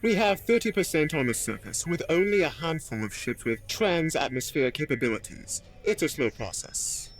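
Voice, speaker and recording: A man playing a dutiful alien second-in-command in a sci-fi audio drama, calm and formal as he reports. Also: fairly high pitch, moderate pace, few pauses, rough recording.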